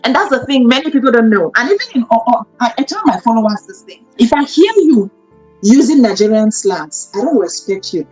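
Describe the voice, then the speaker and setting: A woman's voice: on the high side, expressive, a little fast, a little mellow, soft background music, poor audio. A woman, a guest in a conversational interview, who talks emphatically and repeats her points for stress. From Cameroon. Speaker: guest, academic.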